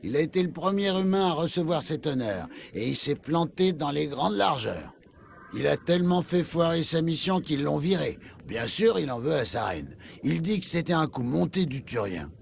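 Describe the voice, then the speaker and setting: An actor playing a sleazy, bitter cop in a video game, sneering and insinuating in a gravelly voice. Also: male voice, a little high, very animated, normal speed. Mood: smug, insinuating. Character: sleazy, bitter male human cop, smug gossip.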